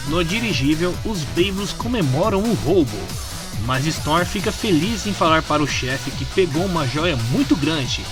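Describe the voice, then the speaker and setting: Casting: Brazilian man, slightly high-pitched, informal story narrator for a fan comic, music underneath. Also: expressive, normal speed. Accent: Brazilian Portuguese. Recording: music behind the voice. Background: background music.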